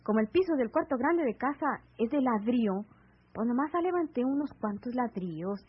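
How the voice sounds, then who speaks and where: High-voiced anxious Mexican country woman. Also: animated, normal speed, husky. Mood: embarrassed. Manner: hesitant, emotional, plaintive. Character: naive, anxious, humble married country woman. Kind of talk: Mexican radio serial acting. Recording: muffled, lo-fi old AM radio recording.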